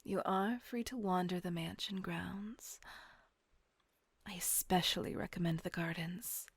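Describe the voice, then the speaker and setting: A woman voicing a kind, doting female vampire mistress in an audio roleplay, speaking in a soft, coaxing whisper close to the mic. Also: on the low side, measured pace, breathy edge, no room sound.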